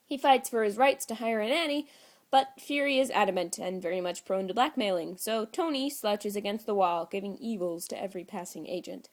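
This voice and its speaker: A woman reads a story aloud in audiobook style. Her pitch sits in the middle range. The delivery is scripted narration. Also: brisk. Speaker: audiobook reader. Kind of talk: scripted fan fiction narration.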